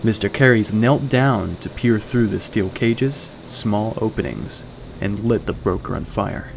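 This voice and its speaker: A man narrating scripted fiction in a measured, dramatic storytelling way. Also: fairly low pitch, creaky, a little hiss or hum.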